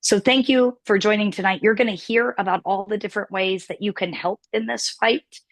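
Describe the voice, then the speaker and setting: A woman with a hoarse, tired voice hosting a virtual town hall as an organization's president, speaking with an emphatic, repetitive rallying cadence. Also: medium pitch, fairly even intonation, a little fast. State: hoarse, losing her voice. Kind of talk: rallying political speech.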